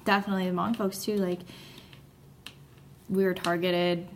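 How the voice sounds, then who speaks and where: Measured woman with a creaky, gravelly voice, casual discussion tone. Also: fairly low pitch, flat delivery, slight background noise. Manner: measured, many affirming murmurs.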